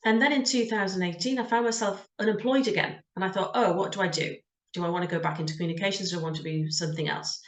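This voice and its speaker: A woman's voice: lowish voice, quick pace, roomy sound. A middle-aged woman from the UK with a British accent, telling her story in a frank, conversational way as an interview guest, with frequent ums. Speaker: guest, job search coach.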